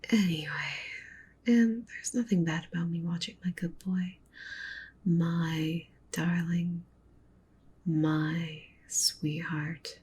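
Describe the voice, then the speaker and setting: Woman voicing a low, whispery possessive wolf girlfriend. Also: a little hiss or hum. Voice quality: soft, breathy whisper mixed with low murmur. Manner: coaxing, pet names, softly commanding. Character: possessive, doting yandere wolf witch girlfriend, dominant. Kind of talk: ASMR roleplay, whispery intimate acting.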